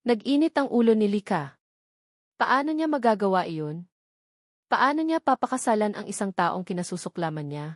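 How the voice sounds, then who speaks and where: A woman's voice: medium pitch, very animated, normal speed, no room sound, studio quality. A woman with a Filipino accent reading a scripted romance story as a dramatic narrator.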